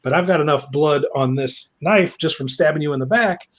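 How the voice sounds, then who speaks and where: A man acts out a brash woman witch in a comic story, in a somewhat high voice. She is bossy, crude and sassy, with blunt, slangy talk. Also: normal speed, lightly acted. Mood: bragging, defiant.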